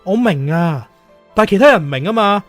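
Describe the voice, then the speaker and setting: Casting: man as stern, worried male teacher and fiancé, 31, Hong Kong Cantonese, rapid and swooping delivery, radio drama. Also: high-pitched, animated, a bit bright, dry recording, faint music behind. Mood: exasperated. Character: stern, jealous thirty-one-year-old male teacher, worried fiancé.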